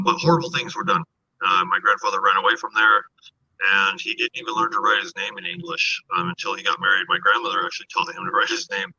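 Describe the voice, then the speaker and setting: A man from the Cherokee Nation of Oklahoma, a guest in a casual virtual chat, talking candidly and joking, with halting fillers, over a video call. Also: on the low side, gravelly, pushed and bright, husky, highs cut off.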